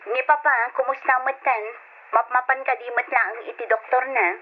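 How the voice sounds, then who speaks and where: Worried big sister calling home; high voice, Ilocano accent, thin phone-line sound. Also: female voice, fairly even intonation, normal speed, a little hiss or hum. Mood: concerned. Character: concerned elder sister phoning home. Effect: telephone filter. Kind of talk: old radio drama acting.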